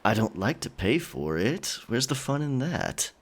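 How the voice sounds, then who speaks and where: An actor playing a teasing, self-assured pirate sailor in an audio drama. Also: male voice, medium pitch, sing-song, measured pace. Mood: teasing, flirtatious.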